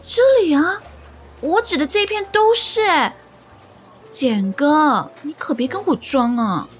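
High-pitched, lively young woman. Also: unhurried. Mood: surprised, insistent. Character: earnest, curious young woman working in a cafe. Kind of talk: Mandarin audio romance drama acting.

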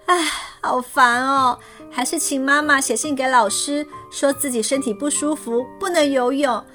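A woman with a Taiwanese Mandarin accent reads a storybook aloud in a gentle, even way. Her voice sits fairly high for a woman. Soft music plays faintly beneath her. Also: moderate pace. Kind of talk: scripted storybook narration.

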